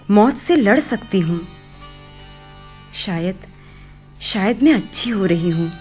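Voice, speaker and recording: An actress playing a sincere, hopeful young daughter in a radio play, gentle and halting. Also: female voice, mid-range voice. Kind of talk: Hindi radio play acting.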